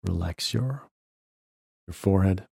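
A man guides a meditation in a very low, mellow voice. He speaks slowly, leaving long pauses between short, gentle instructions. The sound is dry and close, with no room around it. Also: creaky, breathy edge, dry recording. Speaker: podcast host guiding a meditation.

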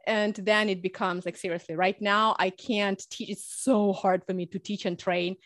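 A woman from Russia, raised in Ukraine, speaking English as a podcast guest. She talks in an energetic, chatty way, laughing often and explaining through examples. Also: medium pitch, moderate pace, dry recording, clean studio sound. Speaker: guest, public speaking coach. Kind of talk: conversational podcast interview.

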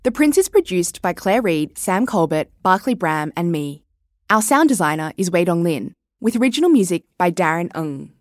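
Female correspondent host, 30s; scripted, reflective narration, low pitch with lively intonation. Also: no room sound, clean studio sound. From Australia. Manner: measured, reflective.

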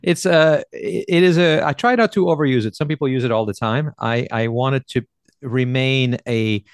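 A middle-aged native French speaker from Quebec with a Quebec French accent, talking in a friendly, measured way as a guest. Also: male voice, mid-range voice, clear, resonant tone, no echo, clean studio sound. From Quebec, Canada. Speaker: guest, naturist park owner. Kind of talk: casual interview chat.

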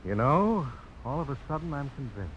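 A man's voice: medium pitch, big pitch swings, moderate pace, dull audio, close to an everyday voice. An actor playing a firm, courteous, plain-spoken young frontiersman in a radio drama. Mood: bashful. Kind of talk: 1950s radio drama acting. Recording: hissy old radio broadcast.

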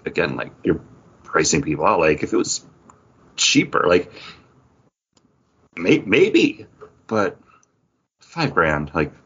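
Male podcast co-host with a deep voice, explanatory and choppy phrasing, somewhat dull lo-fi sound. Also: sing-song, slow pace, long pauses, fairly bright tone, breathy edge. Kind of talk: casual chat about theme park attractions.

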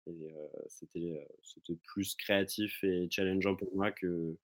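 Guest, young man, very low voice, hesitant and chatty over a remote call. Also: steady tone, unhurried. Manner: rambling, many fillers and restarts. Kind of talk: casual remote chat.

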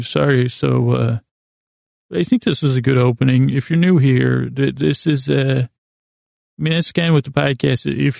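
A man hosting a sleep podcast in a creaky, gravelly voice, rambling haltingly and nonsensically with apologetic, self-deprecating asides, recorded in a small closet. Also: medium pitch, darkish tone, dry recording, clean studio sound. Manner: halting, drawn-out, meandering delivery with repeated self-interruptions. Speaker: podcast host.

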